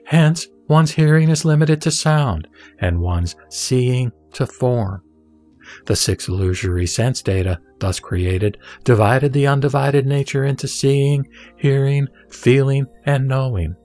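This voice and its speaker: A man reading scripture as narrator of an audio drama, in a solemn, measured and deliberate way. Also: mid-range voice, a little mellow. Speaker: sutra reciter and narrator. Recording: background music under the voice. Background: music.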